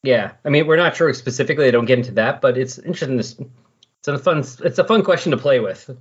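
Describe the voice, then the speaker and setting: A man with a medium-pitched, somewhat rough-edged voice chats informally, working through his thoughts as he goes. His delivery is loose and explanatory, like a fan podcast discussion. The recording is a little dull and lo-fi. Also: fast talker. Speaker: podcast co-host discussing comics. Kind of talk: casual, rambling comics discussion.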